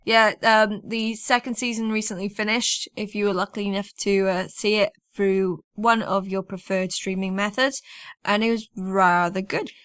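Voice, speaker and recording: A woman's voice: medium pitch, steady tone, moderate pace, fairly bright tone, clear, resonant tone, no echo, studio quality. A woman hosting a podcast in casual chat, with an informal, wry manner. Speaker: podcast host.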